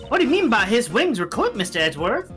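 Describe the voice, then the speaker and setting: Cheeky young girl game sidekick, acted by a streamer: bright, high-pitched, impatient and teasing. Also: very animated, fast talker, faint music behind. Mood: teasing, perky. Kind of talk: fan dub of a courtroom mystery game.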